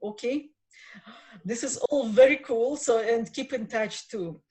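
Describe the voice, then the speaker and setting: Halting woman hosting an online event. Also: a little high, dark tone, rough recording. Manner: halting, many fillers and restarts. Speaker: event host. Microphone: video call.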